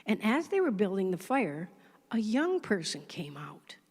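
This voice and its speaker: A woman telling a folk story at a church service, animated and expressive. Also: mid-range voice, a little slow, breathy edge. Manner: animated, expressive, acting out voices. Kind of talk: storytelling for all ages. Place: church sanctuary. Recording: long church hall reverb.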